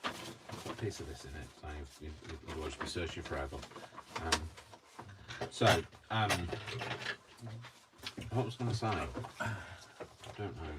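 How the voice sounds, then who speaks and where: A man's voice: extremely low pitch, lively intonation, normal speed, pauses often. A man from Coventry with a mild, slightly posh British accent, chatting hesitantly and self-deprecatingly while he crafts at home. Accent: mild posh British English. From Coventry, England. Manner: rambling, hesitant, self-deprecating, many ums. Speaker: craft vlogger. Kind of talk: casual chat while crafting. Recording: distant mic with clear background noise.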